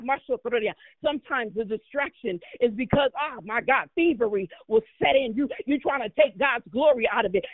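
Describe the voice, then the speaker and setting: High-pitched woman preacher on thin phone-like audio. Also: dry recording. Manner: exhortatory, repeats words and phrases for emphasis; punctuates speech with devotional exclamations.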